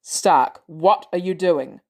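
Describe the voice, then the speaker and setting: A woman's voice: fairly low pitch, takes it slowly, a little mellow, voice pushed down. A woman voicing an indignant male thunder god with a formal, bellowing manner in a story reading.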